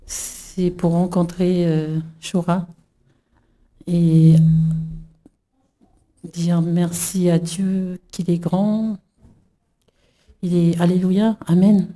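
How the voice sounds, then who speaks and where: Middle-aged woman from New Caledonia, emotional church testimony, slow and halting, dark lowish voice. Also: little pitch movement. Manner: halting, many fillers and restarts. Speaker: church member giving testimony.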